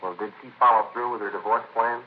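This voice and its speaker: An American man playing a terse, patient police detective in a 1950s radio crime drama, deadpan and clipped. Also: on the low side, quick pace, close to an everyday voice. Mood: calm, probing. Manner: deadpan, clipped, matter-of-fact. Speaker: lead actor, narrator. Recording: muffled, noisy vintage radio recording.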